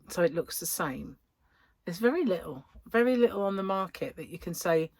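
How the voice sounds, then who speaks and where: A woman's voice: lowish voice, no echo. A woman hosting a podcast, chatting casually and rambling as she thinks aloud. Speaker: podcast host. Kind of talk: casual chat.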